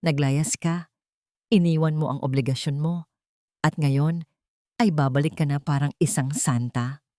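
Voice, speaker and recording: A woman narrator plays a spiteful old mother-in-law in an audio drama. She sneers and accuses in a fairly low female voice. The sound is dry. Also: steady tone, a little slow, long pauses, mellow, no room sound. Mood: sneering mockery. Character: spiteful, mocking elderly former mother-in-law.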